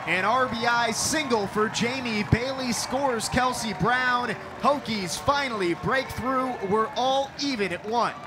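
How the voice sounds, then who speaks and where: A young man calling a televised softball game as play-by-play announcer, with a crisp broadcast delivery that lifts for big plays. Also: very high-pitched, a little slow, few pauses, background noise. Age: young adult. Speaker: sports reporter and play-by-play announcer.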